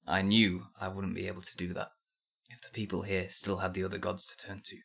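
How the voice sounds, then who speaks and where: A man's voice: deep voice, monotone, a little fast, gravelly, a bit bright, husky, full character voice. A man playing a defiant, zealous nineteen-year-old blacksmith's apprentice in an audio drama, answering an interrogation in a terse, bitterly sardonic manner. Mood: earnest.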